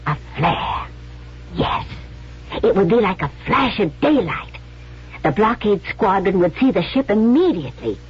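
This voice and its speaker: A woman's voice: mid-range voice, very animated, unhurried, husky, heavily acted. An actress playing a bold, cunning woman spy in a radio drama, resolute and persuasive. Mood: determined, scheming. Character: bold, cunning female actress turned Civil War spy. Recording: muffled vintage radio recording.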